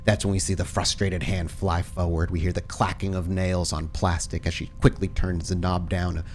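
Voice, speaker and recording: A man's voice: deep voice, little pitch movement, normal speed, a little mellow, a little hiss or hum. A man working as game master, narrating a tabletop game in dramatic, cinematic scene-setting descriptions.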